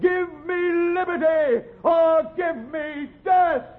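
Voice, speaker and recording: Brief: rebel townsman, very high straining pitch, slow declamation, radio drama, narrow-band. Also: fairly even intonation. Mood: defiant. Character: defiant colonial townsman rallying against the king. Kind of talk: historical radio drama acting. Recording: distant phone-like old recording.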